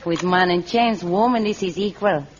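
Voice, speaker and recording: An actress playing a sly, alluring guard among warrior women in a vintage radio serial, speaking in a knowing, theatrical, cautioning way. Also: female voice, on the low side, moderate pace, close to an everyday voice. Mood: warning. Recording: muffled, slightly noisy old radio recording.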